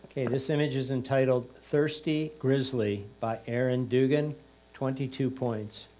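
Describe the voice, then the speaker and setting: A man with a middling pitch works as score announcer. He reads out titles, names and points in a plain, formulaic pattern. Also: fairly even intonation, measured pace, warm tone, a little hiss or hum, poor audio.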